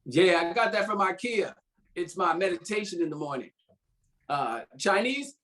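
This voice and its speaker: A man's voice: high voice, lively intonation, moderate pace, a bit bright. A man playing a laid-back, bantering old lover in a drama script read, with an American accent and casual, slangy speech. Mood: easygoing, joking. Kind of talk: informal screenplay table read.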